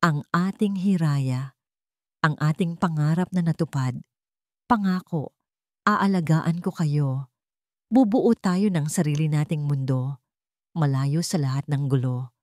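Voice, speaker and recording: Woman reading as tender Filipino lover, low voice. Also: measured pace, long pauses, dry recording, clean studio sound. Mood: tender longing. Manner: soft, loving, earnest. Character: devoted, tender young man writing a love letter.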